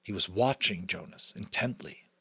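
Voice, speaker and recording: A man's voice: low voice, animated, normal speed, bright, forceful tone, husky, no room sound. An American man narrates a novel with theatrical flair. His intonation moves widely and his phrasing builds suspense, in a dry recording with little room sound. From United States. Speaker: audiobook reader. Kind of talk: dramatic scripted narration.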